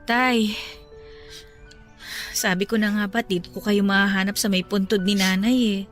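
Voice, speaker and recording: An actress playing a caring young-adult daughter in a radio drama, talking softly and respectfully to console her father. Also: mid-range voice, fairly even intonation, normal speed, faint music behind, lightly acted. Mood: gentle.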